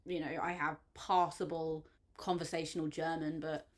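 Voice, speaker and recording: A woman's voice: low-pitched, flat delivery, normal speed. A woman talks through a book she loves in a gushing, chatty way. Her speech is loose and full of ums and likes as she reviews it for her channel. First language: English. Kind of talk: enthusiastic spoken book review.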